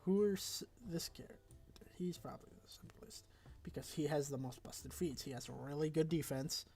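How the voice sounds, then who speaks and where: A congested man with a raspy voice talks casually through a game tier list, rambling along with fillers and self-corrections. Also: on the high side, normal speed, dark tone, soft background music. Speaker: gaming video creator.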